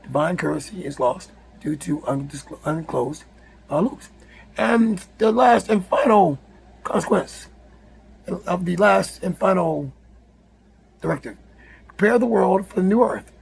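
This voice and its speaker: A man with a raspy, hoarse voice, reading a numbered list aloud with casual asides, often stumbling and rereading words. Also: high voice, expressive, a little slow, long pauses, low-quality recording. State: hoarse from yelling. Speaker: spiritual video host.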